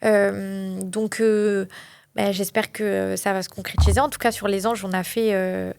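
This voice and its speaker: A woman in her late twenties, a reality TV contestant, chatting in an interview and often pausing with fillers. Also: medium pitch, little pitch movement, vocal fry, darkish tone, clear, resonant tone, no room sound, studio quality. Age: late 20s. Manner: chatty, frequent hesitations. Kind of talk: casual interview.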